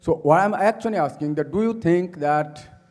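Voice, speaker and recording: A man's voice: fairly high pitch, normal speed, a little mellow, in a large hall. Man asking a long question. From India. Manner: earnest, long-winded, searching for words. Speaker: audience member asking a question.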